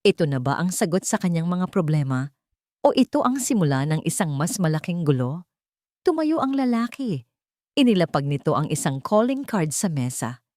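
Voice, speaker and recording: A woman narrating a romance story in a dramatic, scripted style with deliberate, suspenseful phrasing. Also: fairly low pitch, sing-song, dark tone, no room sound, studio quality.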